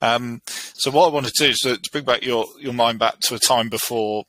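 A man's voice: medium pitch, brisk, bright, forceful tone, no echo, rough recording. A man hosting a podcast interview, asking hesitant, encouraging questions with frequent fillers. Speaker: podcast host.

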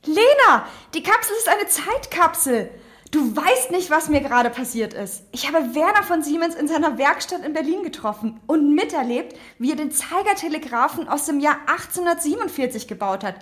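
A woman playing a curious, excitable young time traveler in a scripted audio drama. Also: high-pitched, normal speed. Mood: excited.

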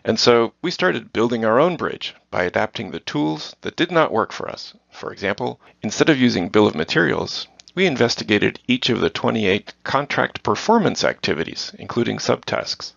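A middle-aged man from the Netherlands answers in a measured, prepared way, as if reading. His voice sits low with a gravelly edge. The recording is dull and dry, with little room sound. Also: very animated, a bit bright, dry recording. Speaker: guest. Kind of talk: scripted corporate interview.

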